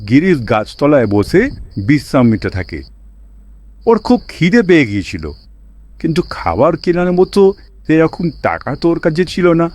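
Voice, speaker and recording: A man's voice: on the high side, very animated, normal speed, long pauses, darkish tone, no room sound. A man narrating a scripted children's cartoon story as a storyteller.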